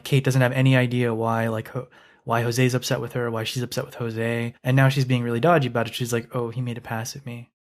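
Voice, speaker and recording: A man's voice: medium pitch, little pitch movement, quick pace. A man co-hosting a podcast in casual, wry banter about a book. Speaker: podcast co-host.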